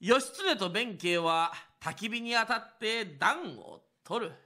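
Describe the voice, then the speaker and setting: A man's voice: sits high, lively intonation, takes it slowly, sharp tone. A man narrating a radio drama as a traditional Japanese storyteller, in a theatrical scripted kodan style.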